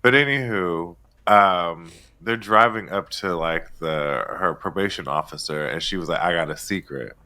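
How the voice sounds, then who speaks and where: A man's voice: sits low, moderate pace, few pauses, sharp tone. A man co-hosting a casual recap chat, giving dry, wry commentary. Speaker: podcast co-host.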